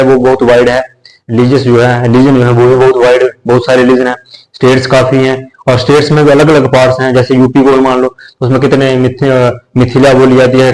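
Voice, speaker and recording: A man's voice: medium pitch, darkish tone, poor audio. Plain conversational male teacher. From India. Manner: conversational, repeats phrases, checks in with listeners. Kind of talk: explanatory lecture.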